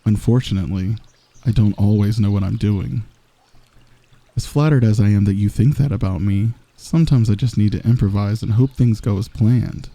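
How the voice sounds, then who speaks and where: A man voicing a smug, flirtatious villain in a soft, intimate, teasing ASMR roleplay, close to the microphone. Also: lowish voice, moderate pace, vocal fry, no room sound. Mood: confessing, playful.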